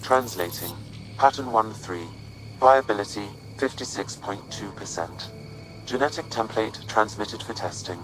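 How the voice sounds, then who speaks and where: Low, impassive AI assistant. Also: male voice, a bit bright, soft background music, rough recording. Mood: calm, analytical. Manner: measured, precise, impassive. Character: calm analytical male artificial intelligence assistant. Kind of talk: scripted game dialogue, formal analysis.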